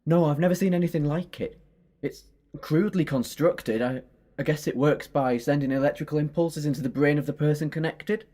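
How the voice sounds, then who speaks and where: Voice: mid-range voice, brisk, mellow, close to an everyday voice. An actor playing an earnest, compassionate alien hero in a sci-fi audio drama, urgent and apologetic in manner. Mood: defiant. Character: earnest, compassionate alien time-traveller hero, pleading and self-sacrificing.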